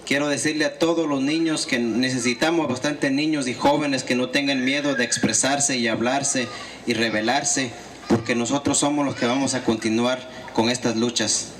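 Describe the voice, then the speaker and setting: A man giving a fluent, steady consecutive interpretation at a public gathering. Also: mid-range voice, normal speed, slight background noise. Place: outdoor gathering.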